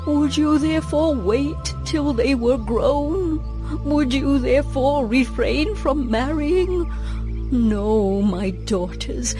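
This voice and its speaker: A woman playing a grieving, bitter but tender older widow in a dramatized audio Bible, pleading in a deliberate way. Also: fairly high pitch, warm tone. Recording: background music under the voice.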